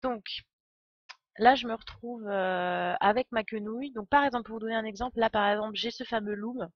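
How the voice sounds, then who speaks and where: A woman's voice: fairly high pitch, steady tone, fairly quick, dry recording, highs cut off. A woman streaming a game with casual, enthusiastic and digressive live commentary. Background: game music.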